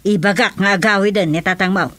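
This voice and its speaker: A woman's voice: medium pitch, fairly even intonation, fast, a bit bright, natural voice. An actress with an Ilocano Filipino accent playing a gossipy middle-aged village mother in a radio comedy drama.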